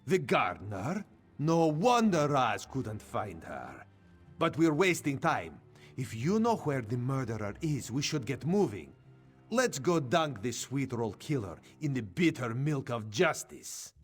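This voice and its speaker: A cheery cat-folk rogue, talks about himself in third person, pitch bounces all over, game voice. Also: male voice, sing-song, measured pace, breathy edge, soft background music. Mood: playful.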